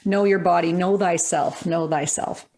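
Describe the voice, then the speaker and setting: A woman's voice: lowish voice, fairly even intonation, a little slow. Affirming woman hosting a podcast. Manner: affirming, warm interjections, addresses listeners. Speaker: podcast host. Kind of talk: casual podcast interview.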